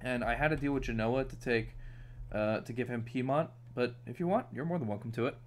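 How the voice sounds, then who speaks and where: A man's voice: mid-range voice, a little fast, a little hiss or hum. A man from New York streaming a strategy game, chatting casually with plenty of fillers. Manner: laid-back, chatty, many fillers. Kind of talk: casual gaming chat.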